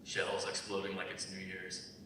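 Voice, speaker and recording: A man playing a haunted, guarded war veteran in a staged reading, speaking in a measured, brooding way. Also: completely flat delivery, pushed and bright. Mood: wry, nostalgic. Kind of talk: staged dramatic script reading. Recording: very echoey, distant, low rumble.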